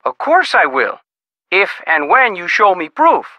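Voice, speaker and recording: An actor playing an easygoing, skeptical small-town sheriff in a video game, with a folksy, casual manner. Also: male voice, high-pitched, very animated, dry recording, lo-fi sound, heavily acted. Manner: folksy, casual, drawn-out reactions. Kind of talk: video game character dialogue.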